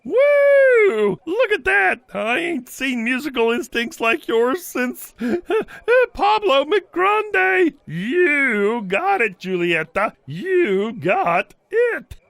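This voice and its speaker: A woman voicing an excitable male mummy music mentor in an animated film, a hyped showman who stammers when flustered. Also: high voice, extremely slow, creaky, few pauses, dry recording, exaggerated voice. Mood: thrilled.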